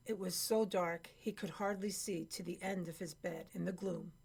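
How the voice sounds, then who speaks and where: A woman's voice: medium pitch, a little mellow. A woman reading scripted narration for a radio play.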